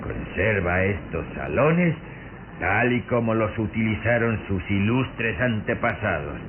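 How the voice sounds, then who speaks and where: A sinister castle servant speaks very low and very slowly, with formal, cryptic menace. He is the ominous underling of a vampire count in a radio drama, heard through a muffled, noisy old recording. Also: very animated.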